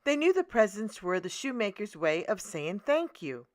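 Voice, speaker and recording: A woman narrating a children's storybook as a read-aloud host, in a deliberate, expressive manner. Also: mid-range voice, dry recording.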